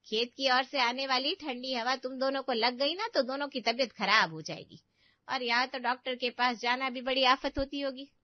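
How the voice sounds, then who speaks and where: A woman's voice: sits high, moderate pace, no echo, muffled recording, lightly acted. A woman voicing a meddling older aunt in a family cartoon, complaining and lecturing with exaggerated sympathy. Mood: nagging. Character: meddling older aunt, falsely pitying, nagging and fault-finding. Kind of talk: Hindi family cartoon story voice acting.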